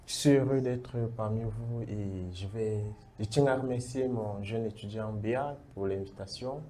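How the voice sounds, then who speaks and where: Dark-voiced young Cameroonian man, polite. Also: lowish voice, moderate pace. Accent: Cameroonian French. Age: young adult. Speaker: guest, computing teacher.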